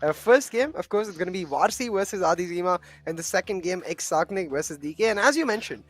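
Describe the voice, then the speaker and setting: A man's voice: sits high, animated, quick pace. A man hosting a live esports broadcast from the desk.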